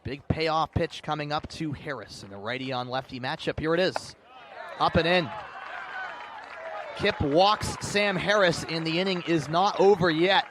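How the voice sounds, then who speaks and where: A man doing live baseball play-by-play commentary, narrating pitch by pitch in short, clipped phrases. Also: a little slow, a bit bright. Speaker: play-by-play announcer. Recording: clear outdoor ballpark background noise. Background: outdoor ambient noise.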